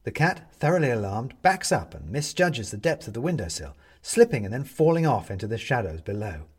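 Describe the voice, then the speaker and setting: A man narrating a story from a script in an expressive, dramatic way. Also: mid-range voice, brisk, slightly rough. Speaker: audiobook narrator.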